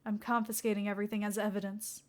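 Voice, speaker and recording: A woman's voice: mid-range voice, flat delivery, fast, a little mellow, lightly acted. A woman voicing a dogged, deadly serious male police detective in a story, stern and matter-of-fact. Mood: stern, earnest.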